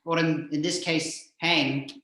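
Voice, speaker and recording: A man hosting a horror radio-play stream in a jokey, theatrical, somewhat halting manner, with very echoey sound. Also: steady tone, bright, forceful tone. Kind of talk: jokey, hammy live show hosting. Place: indoors, remote video call stream. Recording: very echoey, boomy room sound.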